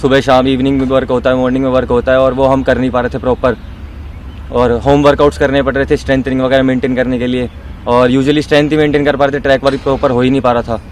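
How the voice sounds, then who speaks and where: A man's voice: mid-range voice, flat delivery, fast, slight background noise. Young man answering a reporter outdoors. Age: young adult. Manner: eager, mixes English into answers. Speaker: athlete. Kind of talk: street interview. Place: outdoor stadium grounds. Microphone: handheld reporter mic.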